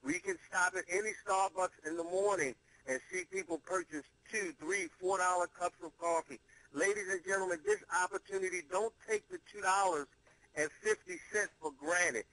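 A man giving a promotional conference-call sales pitch, emphatic and salesman-like, with deliberate pauses for effect. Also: pushed and bright, no room sound, poor audio. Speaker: presenter pitching an online money-making program.

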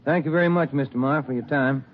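An actor playing a plain, steady, firm male police detective in a 1940s radio drama. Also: medium pitch, fast, close to an everyday voice. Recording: tinny 1940s radio recording.

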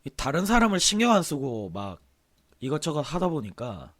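Male game streamer, husky worn-out throat, offhand casual delivery with lively swings in pitch. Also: normal speed. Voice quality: hoarse, husky. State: sore, hoarse throat with throat clearing. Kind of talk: casual livestream chat, reading aloud and commenting.

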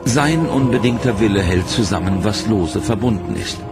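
A man narrating a history documentary in a measured, solemn scripted voice-over. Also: on the low side, lively intonation. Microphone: studio voice-over. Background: loud dramatic music.

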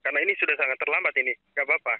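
A man talks quickly over a muffled phone line with other voices around. He is businesslike and keeps pressing the listener. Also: fairly high pitch, a loud crowd. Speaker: phone prize scammer. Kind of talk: phone conversation.

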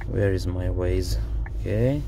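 A man with a Lithuanian accent talks slowly and haltingly, filling his pauses with "uh" as he voices frustration. He is recording inside a car. A faint vehicle hum sits under his voice. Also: low-pitched, gravelly, warm tone. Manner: frustrated, halting, many fillers. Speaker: driver demonstrating a car app. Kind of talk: casual complaint video.

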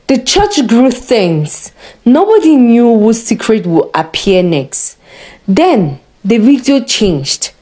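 Slow, high-pitched woman storyteller. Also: mellow. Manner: measured, dramatic storytelling. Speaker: storyteller narrator. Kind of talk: scripted story narration, read slowly for drama.

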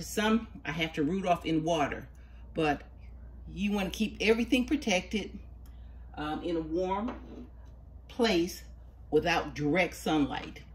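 A woman's voice: low-pitched, slight background noise. A woman casually narrating a home gardening how-to in her sunroom, chatty and patient as she works with her hands. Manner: homey, patient, chatty explaining. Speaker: home gardener showing seed starting.

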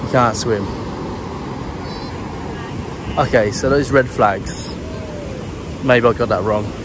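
A British man from England giving monotone, relaxed commentary while walking a beach outdoors, filming on a handheld camera. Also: medium pitch, measured pace. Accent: British English. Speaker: travel vlogger. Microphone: handheld camera carried while walking. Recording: clear wind and surf noise. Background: ocean waves and breeze.

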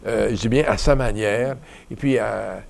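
A man in his seventies with a Canadian French accent, a retiring bishop being interviewed, who talks in a relaxed, reflective way with many fillers and restarts. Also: fairly low pitch, normal speed, vocal fry. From Ottawa, Canada. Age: 70s. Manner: reflective and rambling, many fillers and restarts, gestures while talking. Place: small bright indoor studio at a table.

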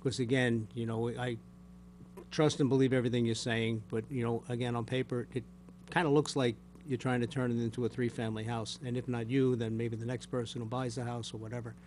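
An older man speaking as a board chair, courteous and measured as he puts questions and handles formal procedure at a public meeting. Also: medium pitch, flat delivery, darkish tone. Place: municipal meeting room. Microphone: gooseneck desk microphone. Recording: faint background hum.

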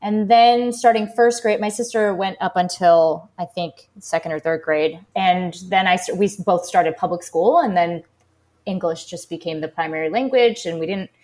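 A woman's voice: fairly low pitch, normal speed, a little mellow. A woman in her thirties from the Boston area, a former gymnast, talking about her life as an interview guest in an upbeat, chatty way with many fillers. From United States, Boston area. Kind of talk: casual interview talk.

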